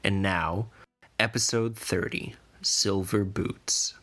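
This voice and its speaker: A man narrating a scripted fantasy story in a measured, deliberate way. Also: sits low, fairly even intonation, a bit bright, faint music behind. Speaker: podcast narrator.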